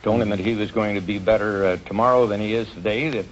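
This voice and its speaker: An older man, a track coach appearing as a quiz contestant, who speaks in an earnest, folksy way and often pauses with uhs, on a TV studio stage. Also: on the low side, steady tone. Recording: dull 1950s TV audio with hiss.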